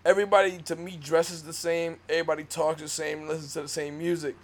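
A young New York man with a slightly high voice, chatting casually as a podcast co-host. His talk is loose, slangy and profane, full of quick agreement. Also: level tone. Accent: New York City. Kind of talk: casual chat.

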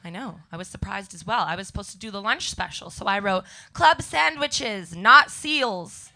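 A young Canadian woman with a scratchy voice doing a deadpan stand-up set before a live audience. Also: mid-range voice, normal speed, gravelly. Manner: deadpan one-liners to a crowd. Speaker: stand-up comedian guest.

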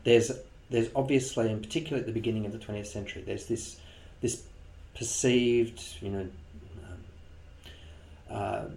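A man interviewing, asking a long question in a searching, halting way. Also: fairly low pitch, fairly even intonation, creaky, darkish tone. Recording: echoey room.

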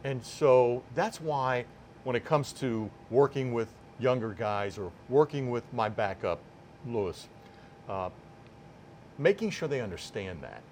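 Mature man, medium pitch, emphatic deliberate phrasing, conversational interview guest, ambient noise behind. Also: lively intonation. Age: 50s or older. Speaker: sports public address announcer, interview guest. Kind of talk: casual sit-down interview.

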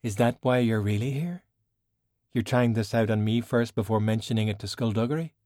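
A man voicing a composed, worldly sorceress, measured and cool. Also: fairly low pitch, a little mellow, dry recording, clean studio sound, close to an everyday voice. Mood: stern warning. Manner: measured, formal, admonishing. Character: worldly, composed, cool woman sorcerer, long-lived and stern.

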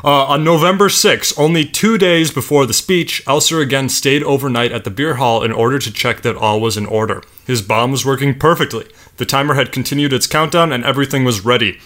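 A man's voice: medium pitch, a little fast, hardly any pauses, a bit bright. A man co-hosting a podcast, telling a history story and laughing between lines.

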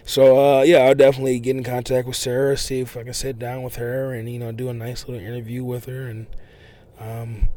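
A man hosting a casual, unedited podcast monologue, talking in a loose, rambling way full of fillers and restarts, his voice a little hoarse from overuse. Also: medium pitch, flat delivery, fairly quick, warm tone, slight background noise. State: losing his voice, a bit hoarse. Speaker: podcast host.

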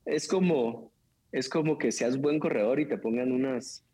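A man who preaches, talking casually in conversation, animated and quick, with filler words and laughs. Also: medium pitch, breathy edge, low-quality recording.

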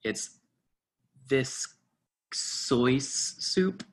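A man's voice: mid-range voice, lively intonation, extremely slow. An actor playing a fussy, officious male restaurant host with formal manners in a readers theatre drama. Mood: exasperated, condescending. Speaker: actor in a staged reading.

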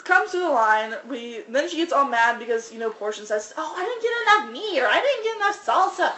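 A woman with a small, soft voice, telling restaurant-work stories in casual chat and mimicking customers. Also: sits high, big pitch swings, a little fast, reverberant, crowd noise, low-quality recording. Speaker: restaurant worker, podcast guest.

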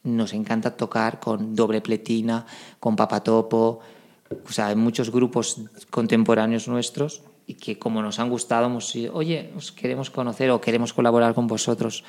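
Spanish guy from a band, gravelly voice, pushes his point hard. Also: medium pitch, little pitch movement, normal speed, darkish tone. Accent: Spanish, from Spain. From Barcelona. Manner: emphatic, insistent explanations. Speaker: band member guest. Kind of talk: casual interview.

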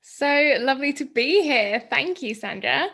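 Woman, 30s, podcast guest: a bit high, gravelly, rambling and chatty. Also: animated, unhurried, pushed and bright. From Greece. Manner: chatty, laughs often, trails off into fillers. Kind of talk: casual interview chat.

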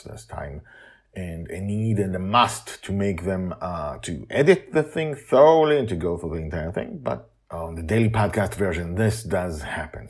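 A man hosting a podcast as its author, speaking in a halting, candid and emphatic way with a husky voice. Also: low-pitched. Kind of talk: casual spoken introduction, then story narration.

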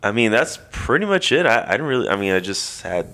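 A man's voice: fairly low pitch, big pitch swings, fairly quick, a bit bright. A young man co-hosting a podcast, talking bluntly, his voice stuffed up from allergies. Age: young adult. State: congested from allergies. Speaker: podcast co-host. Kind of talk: casual chat.

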